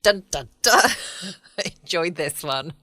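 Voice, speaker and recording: A woman's voice: on the low side, lively intonation, takes it slowly, sharp tone, no echo, studio quality. A middle-aged woman with a southern English accent, chatting in a playful, rambling way as a late-night podcast host. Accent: British, southern English. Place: dry studio.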